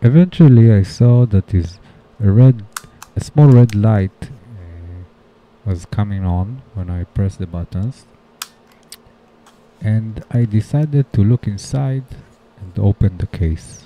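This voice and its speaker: Male DIY narrator, low voice, halting short phrases, muffled lo-fi sound with some noise behind. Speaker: DIY video maker. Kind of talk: casual tutorial voice-over narration. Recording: dull lo-fi sound with background noise.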